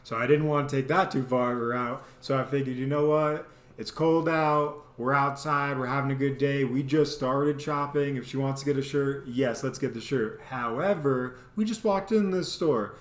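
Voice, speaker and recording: A man telling a casual, comedic story in a rambling, animated way, as the host of a vlog. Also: medium pitch, moderate pace, hardly any pauses. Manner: animated, rambling, mimics people in exaggerated voices. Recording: roomy, echoey sound.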